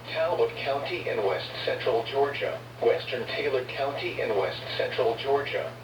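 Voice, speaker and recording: A man with a formal, stilted, synthetic-sounding delivery reads a scripted emergency weather bulletin over a radio broadcast. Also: medium pitch. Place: recorded off a radio receiver. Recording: noisy, echoey, distant weather radio reception.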